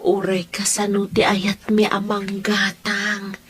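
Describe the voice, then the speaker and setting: A woman voicing a frail, sick, poor wife who speaks softly and wearily. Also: mid-range voice, completely flat delivery, slow, husky. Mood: pleading.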